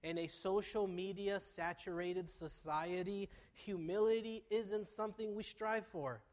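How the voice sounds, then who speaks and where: A man's voice: high voice, steady tone, measured pace, long reverb tail. A male pastor preaching a sermon, congested and sounding nasal, with a conversational, self-deprecating humor. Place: church sanctuary.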